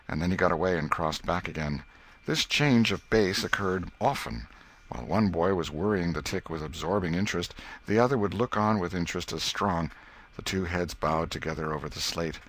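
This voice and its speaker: A man's voice: deep voice, a bit bright. A man reading literary fiction as an audiobook narrator in a scripted storytelling style.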